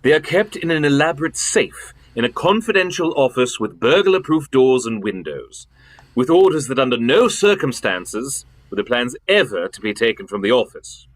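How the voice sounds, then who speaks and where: An actor playing a pompous, imperious elder-brother government official in a radio drama, with emphatic theatrical delivery. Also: male voice, mid-range voice, sing-song, moderate pace. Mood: grave, indignant.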